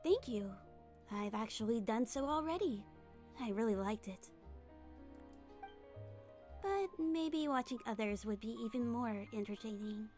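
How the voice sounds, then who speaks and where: Casting: woman for a calm, caring younger-sister magician's assistant in a game dub, lightly high voice, understated delivery. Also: unhurried, pauses often, faint music behind. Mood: calm, matter-of-fact. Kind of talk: video game fan dub.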